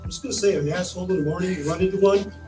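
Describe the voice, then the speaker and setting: Casual male talker, high-pitched, far from the mic in an echoey clip. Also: rapid-fire. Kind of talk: casual spoken remarks. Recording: echoey, distant clip under music.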